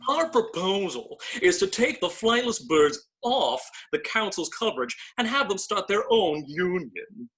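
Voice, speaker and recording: Man as a raspy, pompous bird council elder. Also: sits high, very animated, measured pace, few pauses, a bit bright, exaggerated voice. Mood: pompous, officious. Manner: pompous, formal, officious. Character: haughty male bird council elder, stuffy politician. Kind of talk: comedic audio drama table read, mock interview.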